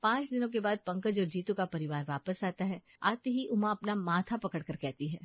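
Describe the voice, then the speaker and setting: A woman's voice: medium pitch, animated, normal speed, no room sound, muffled recording. A woman giving a scripted narration of a story.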